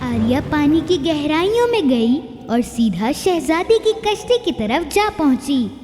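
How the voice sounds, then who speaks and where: A woman storyteller narrates a children's fairy tale in a high, soft-toned voice with lively swings in pitch. She takes her time. The recording has long reverb and faint music behind it. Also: measured pace.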